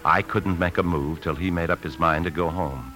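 Actor playing very deep-voiced anxious American bank thief. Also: male voice, normal speed, natural voice. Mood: tense narrating. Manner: tense, confiding. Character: anxious male bank officer secretly stealing a fortune. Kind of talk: 1950s radio drama acting with first-person narration. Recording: 1950s radio broadcast with music.

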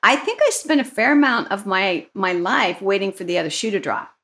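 A woman's voice: mid-range voice, animated, fairly quick, fairly bright tone. Upbeat woman co-hosting a podcast. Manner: upbeat, asks questions, frequent "right?" tags. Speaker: podcast co-host. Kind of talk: conversational podcast talk.

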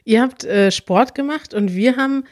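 A woman's voice: fairly high pitch, moderate pace, darkish tone, no room sound, clean studio sound. A woman co-hosting a podcast in casual chat, talkative and thinking aloud as she explains. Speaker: podcast co-host.

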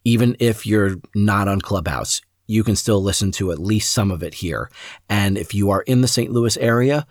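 A man's voice: fairly low pitch, no echo, studio quality. A man hosting a podcast, speaking enthusiastically and appreciatively to his listeners in an introduction. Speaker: podcast host. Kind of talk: scripted-sounding podcast introduction addressed to listeners.

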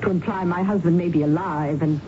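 An actress playing a distressed, defensive bereaved wife in a 1970s radio drama, speaking in a halting, guarded, pleading way. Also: female voice, fairly low pitch, fairly even intonation, lightly acted. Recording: noisy, dull lo-fi radio broadcast.

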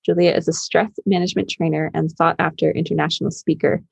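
Enthusiastic podcast host, a woman with a low, gravelly, husky voice, dry sound. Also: little pitch movement, fast talker, a little mellow, no echo. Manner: enthusiastic, chatty. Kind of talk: podcast interview, reading an intro.

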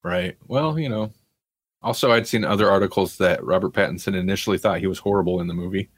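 A man with a fairly low voice chats casually as a podcast host. His delivery is wry and conversational, and the sound is dry, with very little room in it. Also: fast, slightly rough, no room sound. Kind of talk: casual pop culture chat.

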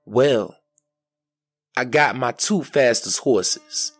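A man's voice: mid-range voice, drawn-out words, slightly rough, faint music behind. Man narrating as a devil's bold young daughter. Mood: scheming, assured. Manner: incantatory, decisive. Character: devil's bold, lovestruck daughter who works magic.

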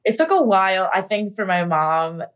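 A woman's voice: mid-range voice, very animated, moderate pace. A young American woman from Pennsylvania telling a personal story candidly and conversationally, with frequent filler words like "like" and "you know". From Pennsylvania, United States. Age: young adult. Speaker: podcast guest, actor.

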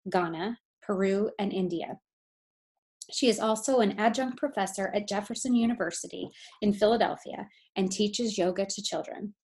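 A woman's voice: mid-range voice, a little mellow. A woman hosting a podcast, reading a guest introduction and then chatting eagerly with her guest. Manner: upbeat, eager. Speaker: podcast host. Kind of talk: scripted intro then casual interview.

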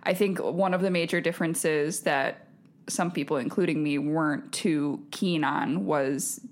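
Low-voiced woman in casual discussion. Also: unhurried. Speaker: podcast panelist. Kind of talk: casual film review discussion.

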